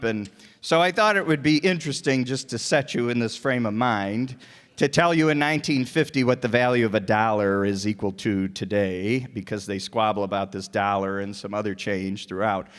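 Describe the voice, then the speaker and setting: A man introduces a radio play as its host in a chatty, live-stage way. His voice sits at a medium pitch and carries long reverb from the hall. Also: fairly bright tone.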